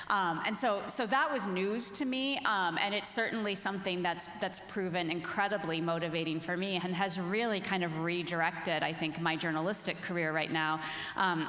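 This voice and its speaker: A woman's voice: lowish voice, a bit bright. A woman speaking as an author and journalist on a panel, in an earnest, informal, conversational way. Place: large reverberant festival venue. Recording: echoey hall with long reverb.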